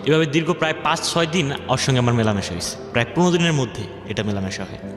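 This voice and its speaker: Story guest: Bangladeshi-accented man, mid pitch, informal dialect-flavoured telling, strong echo. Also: animated, rapid-fire. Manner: colloquial, dialect-tinged speech. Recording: echoey long reverb, music bed behind.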